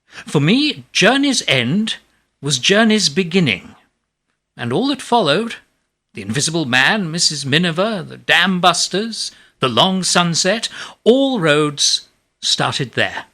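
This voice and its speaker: A man's voice: on the high side, sing-song, slow, sharp tone, heavily acted. A man playing a modest, genial, wry English playwright in a radio play. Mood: reflective, wry. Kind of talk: radio drama acting and reminiscence.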